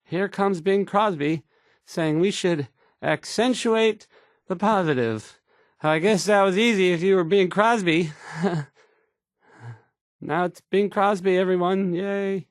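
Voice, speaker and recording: A man's voice: high voice, slow, no echo, clean studio sound. An actor playing a nervous, awkward young male radio DJ in a video game, stammering and hesitant as he talks. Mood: awkward, unsure. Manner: stammering, hesitant, unsure of himself. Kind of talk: video game character dialogue.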